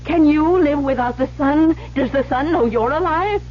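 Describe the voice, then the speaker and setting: An actress playing a bitter, obsessive secretary turned blackmailer in an old radio thriller, accusing and melodramatic. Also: female voice, sits high, moderate pace, lightly acted. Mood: bitter, impassioned. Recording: dull, noisy old radio broadcast.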